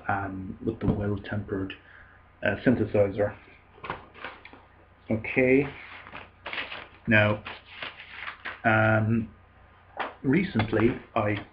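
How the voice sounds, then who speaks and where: A man with a Cork Irish accent talks slowly and haltingly, full of ums and restarts, in a fairly low voice. He's recorded on a desk webcam at home, so the sound is dull and lo-fi. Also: a little hiss or hum. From Ireland. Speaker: vinyl collector vlogger. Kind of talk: casual show-and-tell. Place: small indoor room at home.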